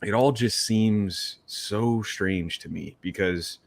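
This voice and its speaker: A man's voice: on the low side, takes it slowly, creaky, no echo. A man co-hosting a sports podcast, talking in a rambling, exasperated, emphatic way. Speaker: podcast co-host. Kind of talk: casual sports chat.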